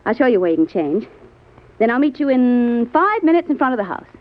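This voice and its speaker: Coy, classy young woman, expressive voice. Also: fairly high pitch, brisk. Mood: inviting. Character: alluring, poised young woman, about twenty-five, coyly inviting. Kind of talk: old-time radio drama acting. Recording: dull, noisy vintage radio recording.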